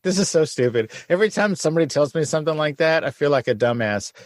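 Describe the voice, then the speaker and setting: A man's voice: fairly high pitch, lively intonation, fast, no room sound, studio quality. Laid-back joking male podcast host. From San Antonio, Texas. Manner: jokey, laid-back, self-deprecating. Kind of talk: casual podcast interview.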